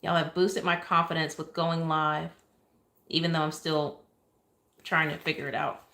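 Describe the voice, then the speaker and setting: Southern woman, soft calm lower voice, chatty livestream cake decorator at a kitchen counter. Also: normal speed, creaky. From Oklahoma, USA. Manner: chatty, thanks viewers often, asides while working. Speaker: cake decorator live-streaming. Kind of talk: casual livestream chat while piping icing.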